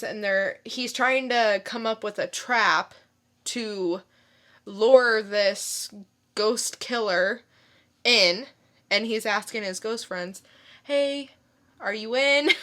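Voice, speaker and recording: A woman's voice: a little high, pushed and bright. A woman co-hosting a podcast, talking casually and giving informal recaps. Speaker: podcast co-host. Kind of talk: casual chat and informal review.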